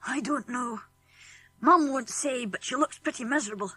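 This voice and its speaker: A woman's voice: high voice, normal speed, pushed and bright, slightly rough, soft background music, heavily acted. A woman voicing an eager, plucky English schoolboy in a children's audiobook, boyish and earnest, with a British accent. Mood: upset, indignant. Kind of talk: children's audiobook character acting.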